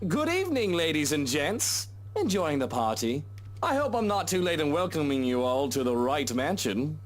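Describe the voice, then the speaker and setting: A man's voice: on the high side, sing-song, moderate pace. A man voicing a showy, pompous young rich host with a theatrical, grandiose delivery. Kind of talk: game voice acting.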